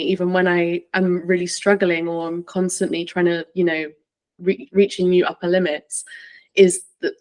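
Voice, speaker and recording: Even-toned woman podcast host, lowish voice. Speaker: podcast host, yoga teacher. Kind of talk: casual conversational interviewing.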